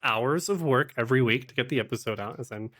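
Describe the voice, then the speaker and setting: A man with a medium-pitched voice talks as a guest on a podcast. His delivery is casual and conversational. He phrases things reflectively, hesitating and repeating words as he goes. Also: fast talker, a bit bright. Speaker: podcast guest, actual play streamer and producer.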